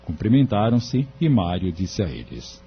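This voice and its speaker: Low-voiced male narrator, muffled audio. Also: very animated, unhurried, slight background noise. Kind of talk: scripted audio drama narration. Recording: dull lo-fi audio. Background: music.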